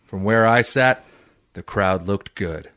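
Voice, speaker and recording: A man acting a cynical, wisecracking private eye in an old-time radio detective drama, with a dry deadpan delivery. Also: on the low side, slow pace, noisy background. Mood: wry, world-weary. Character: hard-boiled, wisecracking male private eye and odd jobber.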